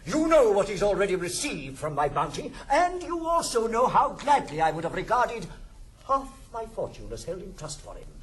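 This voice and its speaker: An actor playing a blunt, sardonic old uncle in a 1960s recording of a classical stage comedy, with a British accent and declamatory delivery. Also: male voice, sits high, wildly swooping pitch, moderate pace. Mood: indignant. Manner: declamatory, theatrical. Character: blunt wealthy old uncle, male, sardonic yet generous. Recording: 1960s studio record, faint hiss.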